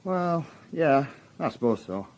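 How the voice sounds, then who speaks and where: An actor playing a plain-spoken young army private in a radio drama, with a Northern English accent and a hesitant, colloquial way of talking. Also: male voice, a little high, big pitch swings, takes it slowly, darkish tone, rough recording. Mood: awkward, guarded. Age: young adult. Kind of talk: naturalistic radio drama acting.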